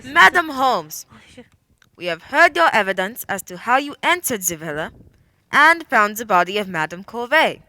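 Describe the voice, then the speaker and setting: Woman acting an animated, formal lady prefect. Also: a little high, moderate pace. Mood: formal, probing. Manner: formal, questioning. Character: formal, authoritative French female prefect of police. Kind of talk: radio play courtroom acting.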